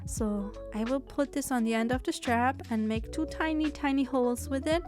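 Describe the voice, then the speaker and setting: A woman's voice: high voice, normal speed. A woman from Germany talking through a sewing tutorial in a chatty, self-deprecating way, with small restarts. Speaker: sewing pattern designer. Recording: soft background music.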